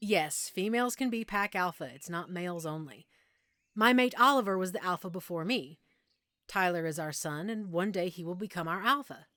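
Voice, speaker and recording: A woman playing a middle-aged, self-assured werewolf pack alpha in an intimate audio roleplay, explaining things conversationally with measured pauses. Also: fairly low pitch, sharp tone, no echo. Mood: calm, frank.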